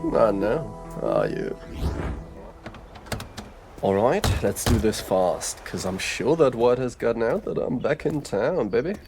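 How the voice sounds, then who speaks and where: A man's voice: medium pitch, sing-song, slow pace. An actor playing a cocky, teasing male detective in an audio roleplay drama, with sardonic, theatrical banter. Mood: cocky, playful. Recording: music behind the voice.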